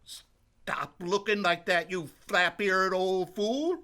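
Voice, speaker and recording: An irritable country doctor acted by a man in a radio drama, his voice high and forceful. He scolds and scoffs, rattled underneath. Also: takes it slowly, exaggerated voice. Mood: testy, rattled. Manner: testy, scolding, exclaiming. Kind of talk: radio play acting.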